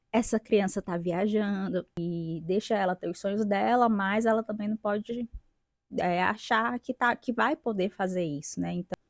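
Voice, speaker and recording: A woman in her twenties from Brazil, an English teacher and linguist, talking about her own experience in a reflective vlog with pauses and restarts. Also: medium pitch, mellow, no echo. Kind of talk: casual personal vlog.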